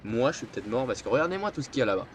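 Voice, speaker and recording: Stuffy-nosed male gamer, mid-pitched, rambling commentary full of hesitations, faint noise behind. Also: sing-song, rapid-fire. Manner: rambling, hesitant, many filler sounds. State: stuffy nose from a cold. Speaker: gameplay commentator. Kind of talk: casual gameplay commentary.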